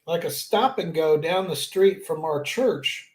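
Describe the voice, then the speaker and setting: A man co-hosting a podcast, making short reactions and telling stories slowly with hesitations. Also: fairly high pitch. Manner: short dry reactions, slow hesitant storytelling. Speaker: podcast co-host. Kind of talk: casual podcast chat. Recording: roomy, echoey mic.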